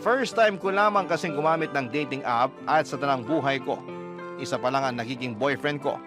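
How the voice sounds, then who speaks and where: A man with a Filipino accent reading a letter as a dramatic, measured radio narrator. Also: mid-range voice. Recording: prominent music bed under narration.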